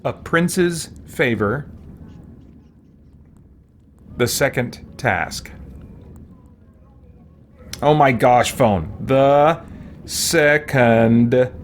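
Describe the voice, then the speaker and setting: A man's voice: mid-range voice, very slow, pauses often. Male streamer, mid-range voice, casual self-directed commentary, folksy and a little exasperated, faint noise behind. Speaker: game streamer. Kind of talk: casual gameplay commentary, muttering to himself.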